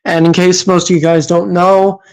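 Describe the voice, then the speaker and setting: A woman's voice: on the low side, level tone, moderate pace, a little mellow. A woman from Massachusetts hosting a livestream, reacting to clips with sarcastic, scolding commentary and frequent hesitations.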